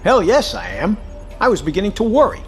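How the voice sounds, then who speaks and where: An actor playing a gruff, sarcastic, exasperated submarine captain in an audio drama, with a profane, sardonic way of talking. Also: male voice, high voice, huge pitch swings, fairly quick, faint music behind. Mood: irritated relief.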